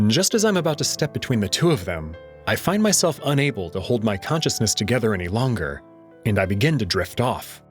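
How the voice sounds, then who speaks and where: A man's voice: lowish voice, big pitch swings, fairly quick. A man reading a visual novel as dramatic first-person scripted narration. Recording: dry close voice over faint music.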